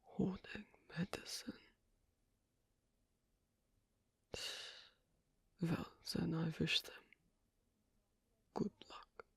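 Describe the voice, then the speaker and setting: A performer playing a shy, bookish, isolated swan king in intimate ASMR roleplay, speaking halting English with a foreign accent in a creaky half-whisper. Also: medium pitch, steady tone, a bit bright, no room sound, exaggerated voice. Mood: cautious curiosity. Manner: halting, hesitant, clipped non-native phrasing.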